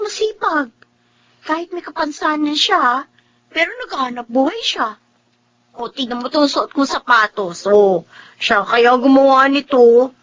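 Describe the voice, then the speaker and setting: A woman acting a frank young friend with some bitterness in a Filipino radio drama. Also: high-pitched. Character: frank young schoolmate, resentful of absent father. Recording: dull, muffled audio.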